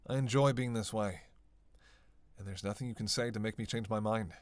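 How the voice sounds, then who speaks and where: A man's voice: on the low side, steady tone, natural voice. A man playing a witty, bloodthirsty vampire in a teasing roleplay monologue, sardonic and conversational. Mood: cold, taunting. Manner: sardonic, playful, conversational with sighs and pauses. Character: teasing, witty, bloodthirsty male vampire, centuries-worn cynic. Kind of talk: flirtatious dark roleplay monologue to one listener.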